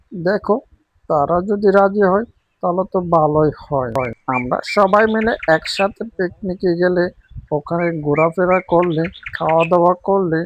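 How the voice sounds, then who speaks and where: Man voicing a high, sensible cartoon tailorbird. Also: lo-fi sound, slight background noise.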